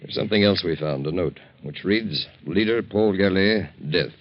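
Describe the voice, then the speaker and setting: A man acting a businesslike police detective in an old-time radio drama, measured and matter-of-fact. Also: low-pitched, no room sound, natural voice. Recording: dull muffled old radio recording.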